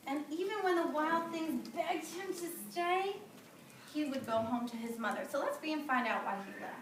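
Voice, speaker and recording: A woman teacher reading a picture book aloud to young children, pausing to ask questions and gently correct in simple classroom phrases. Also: high-pitched, expressive. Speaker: preschool or early grade teacher. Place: classroom with children, recorded from a distance. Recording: echoey room, distant mic, noisy background.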